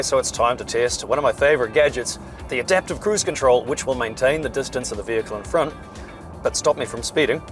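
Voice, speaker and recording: A hoarse man, chatting and joking as he reviews a car while driving it. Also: mid-range voice. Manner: chatty, dry jokes. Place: inside a moving car.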